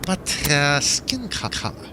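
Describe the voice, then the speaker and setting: A man's voice: fairly high pitch, sing-song, slow, noisy background, pitched above the performer's own voice. A man voicing a nasty, leering officer in uniform, taunting and insinuating, in an audiobook. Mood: leering menace. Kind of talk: audiobook character dialogue.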